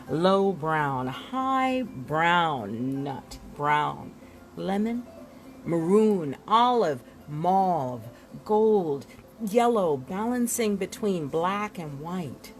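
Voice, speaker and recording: Expressive, unhurried woman reading aloud. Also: on the low side, poor audio. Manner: dramatic, deliberate delivery with emphatic pauses. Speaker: book reader. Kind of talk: expressive read-aloud of a nonfiction book.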